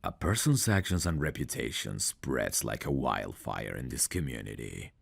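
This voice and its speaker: A man playing a big, confident, flirtatious champion fighter in an intimate ASMR audio roleplay, speaking in a deliberate, teasing manner. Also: a bass voice, sing-song, vocal fry, husky, no echo, full character voice. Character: big confident champion fighter, smooth, flirtatious, guarded about his past. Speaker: voice actor.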